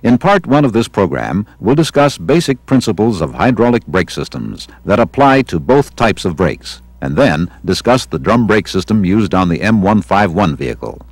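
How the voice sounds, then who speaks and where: An American man reading scripted instructional narration for a training film in a measured, didactic way. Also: lowish voice, sing-song, dry recording. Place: studio voice-over.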